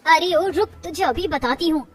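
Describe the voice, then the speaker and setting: A woman voicing a naive, bold and impulsive young daughter-in-law in a moral story, blurting things out excitably. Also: extremely high pitch, normal speed, soft background music, voice pushed up. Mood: bold, urgent.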